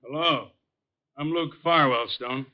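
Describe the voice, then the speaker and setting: A man playing a smooth, condescending and coolly threatening racketeer boss in a 1950s radio crime drama. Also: fairly high pitch, slow pace. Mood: smugly affable. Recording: muffled vintage radio recording.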